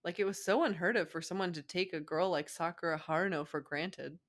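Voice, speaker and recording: A woman's voice: lowish voice, brisk. A young adult woman co-hosting a fan fiction reading, narrating the story with casual asides.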